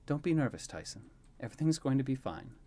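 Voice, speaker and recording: A man voicing a gentle, affectionate queen mother in a fantasy story, fond and reassuring. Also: medium pitch, very animated, quick pace, voice pushed up.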